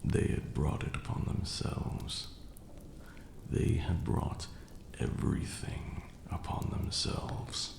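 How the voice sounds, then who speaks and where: A man playing an ancient vampire lord, cruel yet grieving, who delivers a brooding, theatrical ASMR roleplay monologue in a gravelly, breathy murmur. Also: takes it slowly, echoey room, background noise, lightly acted. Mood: menacing, dark.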